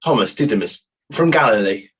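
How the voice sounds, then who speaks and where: A man playing a sincere disciple who once doubted, giving halting but emphatic testimony in a courtroom drama. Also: on the low side, lively intonation, fairly bright tone, breathy edge. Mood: emphatic, doubting.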